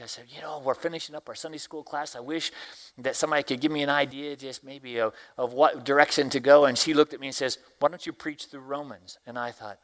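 A man's voice: mid-range voice, fairly bright tone, low-quality recording. A middle-aged man from Tennessee with a Southern accent, preaching a folksy sermon to a congregation and asking them questions.

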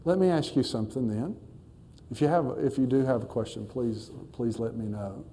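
Casting: middle-aged man, pastor; very dark, mellow tone, folksy, halting delivery, faint hum behind. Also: medium pitch. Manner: folksy, halting with many fillers. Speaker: missionary pastor. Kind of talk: church talk to a congregation. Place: church.

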